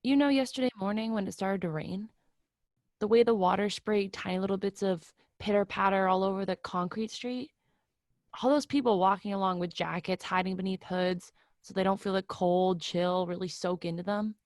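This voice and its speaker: A woman's voice: mid-range voice, fairly even intonation, moderate pace, darkish tone, dry recording, studio quality, natural voice. A woman reading the part of a brooding, introspective young tenant in a play reading. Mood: pensive. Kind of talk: readers theatre play reading.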